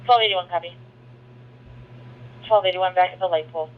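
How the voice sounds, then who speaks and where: A man's voice: sits high, normal speed. A man working as a police dispatcher, repeating reports back in a clipped, procedural way over radio. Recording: noisy, muffled police radio channel.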